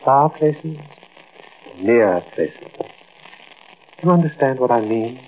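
Stern, ominous astronomer on scratchy old radio. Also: male voice, mid-range voice, expressive, slow. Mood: cryptic, ominous. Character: stern, ominous older male astronomer, commanding and cryptic. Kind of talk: 1940s radio acting. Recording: muffled, noisy old radio recording.

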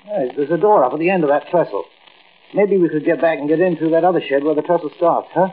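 An actor playing a nosy, cocky American small-time crook with slangy, pushy talk in 1940s radio style. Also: male voice, on the high side, fast, natural voice. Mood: curious. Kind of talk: 1940s radio acting, casual dialogue. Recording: tinny, crackly old radio recording.

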